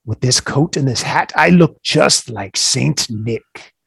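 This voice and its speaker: A snarling, scheming creature from a storybook, as a man reading the tale puts him on. His voice is gravelly and raspy, with a slow, grumbling pace. He sneers through every line. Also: mid-range voice, fairly bright tone, dry recording, clean studio sound, voice pushed up, heavily acted. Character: grumpy scheming green male creature.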